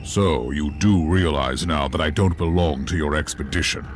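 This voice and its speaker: An actor playing a stern, zealous, battle-hardened inquisitor in a video game, solemn and commanding, speaking formally. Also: male voice, a bass voice, normal speed, noisy background. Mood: grave, commanding. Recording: loud music behind the voice.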